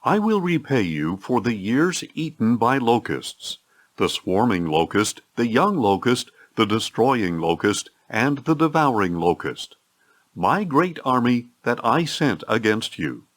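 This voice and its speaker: A man reading scripture as a solemn audio Bible narrator, with a measured, declamatory delivery. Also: mid-range voice, big pitch swings.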